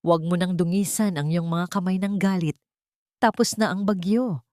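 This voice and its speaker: A woman voicing a frail, loving, dying mother in a story, soft and consoling. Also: fairly low pitch, normal speed, no room sound, studio quality, close to an everyday voice. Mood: gentle, resigned. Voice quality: soft, weak.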